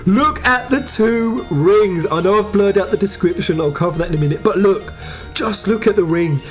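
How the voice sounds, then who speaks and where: An emphatic, informal host giving excited fan theory commentary on a video game. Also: medium pitch, normal speed, faint music behind.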